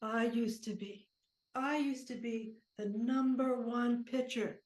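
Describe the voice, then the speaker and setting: A woman playing a cranky, sentimental aging ex-baseball pitcher with an aching back, rambling and muttering in an improvised monologue. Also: steady tone, takes it slowly, darkish tone. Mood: wistful, fond. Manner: rambling, muttering, groaning, talks fondly to objects. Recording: echoey room.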